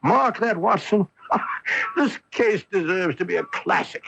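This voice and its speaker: A man playing a keen, confident, amused master detective in a theatrical radio mystery style, with a raspy voice. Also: high-pitched, animated, a little slow, fairly bright tone, exaggerated voice. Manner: theatrical, emphatic deductive delivery. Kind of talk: 1980s radio mystery acting. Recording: dull 1980s AM radio broadcast.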